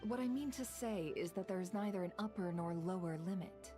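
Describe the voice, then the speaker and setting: A woman's voice: medium pitch, brisk, over music, natural voice. An actress voicing a composed, teasing female boss of a secretive agency in a fantasy video game. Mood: cool, teasing. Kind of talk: video game character acting.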